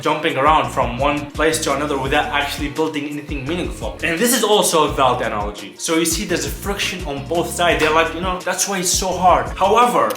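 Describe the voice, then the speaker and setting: A man's voice: a little high, pushed and bright. A man from Iraq talking casually and emphatically to camera in an informal motivational vlog, repeating points and sometimes swearing. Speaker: vlogger. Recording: roomy echo, music behind.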